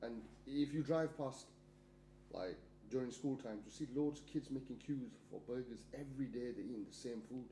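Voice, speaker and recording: A man hosts a podcast in a casual, chatty way, telling little stories from his day. His voice is dark and mellow. The room around him is roomy and echoey, with a faint hum underneath. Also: mid-range voice, moderate pace. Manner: chatty, tells anecdotes. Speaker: podcast host. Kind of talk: casual chat.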